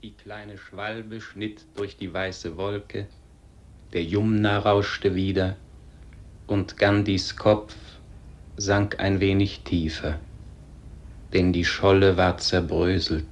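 An actor as the solemn storyteller of a classic radio play, narrating in a measured, grave manner. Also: male voice, deep voice, flat delivery. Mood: solemn, hushed. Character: solemn male storyteller of a tragic tale. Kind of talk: scripted radio drama narration.

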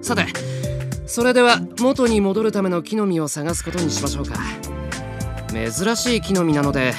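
A man's voice: high voice, expressive, moderate pace, over music. A man voicing a mischievous male fairy who teases two brothers in a polite, smug way, in a drama CD. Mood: teasing. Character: mischievous male fairy guide, teasing and playful. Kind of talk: anime drama CD acting.

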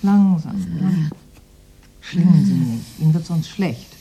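A concerned woman doctor with a soft, dark-toned voice. She is cautious and soothing, protective of her patient, in the manner of a radio play. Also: low voice, animated, a little slow, husky, exaggerated voice. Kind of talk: German radio drama acting.

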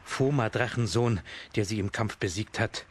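An actor playing a sad, weary old ferryman in a children's radio play, speaking in a measured, grave way. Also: fairly low pitch, lightly acted. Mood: grave warning. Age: older. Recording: clear background noise, ambience.

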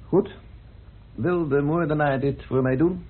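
An actor playing a methodical, insistent amateur detective in a radio play, speaking deliberately with theatrical pauses as he accuses. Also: on the high side, husky. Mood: challenging, insistent. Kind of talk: radio play acting in Dutch. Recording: muffled old radio drama recording.

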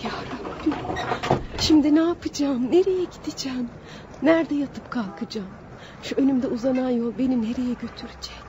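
An actress plays a desperate, humble young woman released from prison in an old radio melodrama. Her voice is high and tearful, pleading theatrically. The recording is dull, with a faint layer of noise. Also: moderate pace, lightly acted. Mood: despairing.

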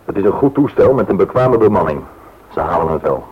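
A husky, low-voiced senior authority in a radio play, calm, stern and sure of himself. The recording sounds dull and lo-fi. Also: male voice, a little fast, full character voice. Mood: confident, unruffled. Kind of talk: radio drama acting.

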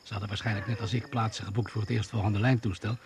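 An actor playing an inquisitive, self-assured doctor in a Dutch radio drama, questioning briskly and with authority. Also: male voice, on the low side, flat delivery, gravelly, crowd noise. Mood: assured, watchful. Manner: probing, brisk and commanding.

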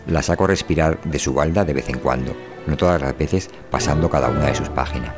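A man narrates a scripted literary monologue in a low voice. His delivery is measured and wry, and music plays behind the reading. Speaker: voice performer reading an audio fiction.

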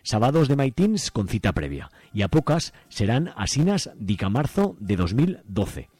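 A man presenting a radio magazine, reading out listings in a halting way with drawn-out phrase endings. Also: mid-range voice, very animated, a little mellow, breathy edge, no room sound.

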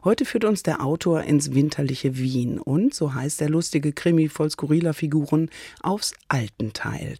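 A male radio presenter reading a scripted introduction, his voice mellow and husky with audible creak, slightly high in register. The recording is close and dry. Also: no room sound.

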